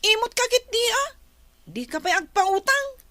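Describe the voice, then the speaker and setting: A woman's voice: very high voice, animated, moderate pace, sharp tone, dry recording, heavily acted. An actress playing a bratty, demanding young girl in a radio drama, whining and pushy. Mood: sulky, pushy.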